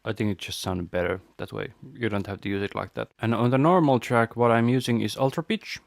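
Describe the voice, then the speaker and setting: Male tutorial presenter, fairly low voice, informal step-by-step explaining, dry close-mic sound. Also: a little fast, vocal fry, dry recording. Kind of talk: casual software walkthrough.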